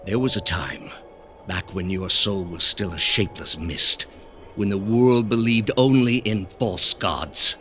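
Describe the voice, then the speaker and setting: An actor playing a cold, pompous male grandmaster of an ancient zealous order in a video game, speaking deliberately and with condescension. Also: fairly low pitch, sharp tone, a little hiss or hum. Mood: grave, unrepentant. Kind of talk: dramatic video game dialogue.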